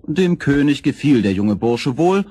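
A man narrating a children's fairy tale as storyteller, with expressive, scripted storybook delivery. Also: medium pitch, measured pace, husky, no room sound.

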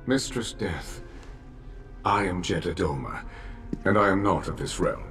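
An actor playing a sinister demon lord in a video game, theatrical and deliberate, with silky menace. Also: male voice, low voice, a bit bright, soft background music. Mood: grand, persuasive. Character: sinister, grandiose demon lord of another universe. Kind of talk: dramatic video game villain acting. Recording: dramatic score clearly behind.

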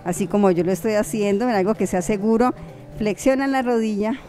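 Young female fitness vlogger, mid-pitched, encouraging and chatty, workout voice-over with a music bed. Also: steady tone, fairly quick, mellow. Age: young adult. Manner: encouraging, chatty, fond of diminutives. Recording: background music under the voice.

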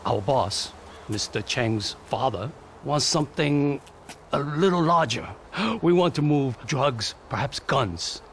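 An actor playing a polite, nervous business associate in a video game cutscene, speaking formally and apologetically. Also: male voice, medium pitch, animated, takes it slowly, a bit bright, a little hiss or hum.

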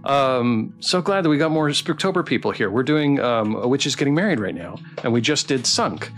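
Welcoming male streamer over soft guitar music. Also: medium pitch, animated, brisk. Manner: welcoming, appreciative, rambling. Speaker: game streamer and host. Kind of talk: casual livestream chat while playing a visual novel. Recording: music behind the voice. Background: plucked guitar game music.

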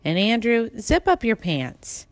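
A woman's voice: fairly high pitch, big pitch swings, measured pace, no room sound, pitched above the performer's own voice. A woman voicing a schoolboy's busy mother, bossy but indulgent, in a storybook. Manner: directing, motherly.

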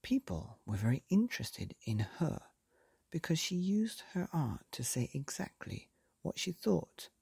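Slow breathy man reading a bedtime story. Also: fairly high pitch, big pitch swings, no echo, clean studio sound. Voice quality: soft breathy half-whisper. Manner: slow, gentle and lilting. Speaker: storyteller and podcast host.

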